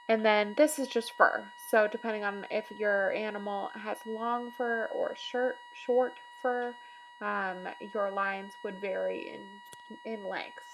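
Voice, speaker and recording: Woman doing a casual drawing tutorial, bit of fry in her voice, mid pitch, explains each step, music behind. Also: fairly bright tone, a little hiss or hum, poor audio. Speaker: art teacher. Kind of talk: casual drawing demonstration, talking while working.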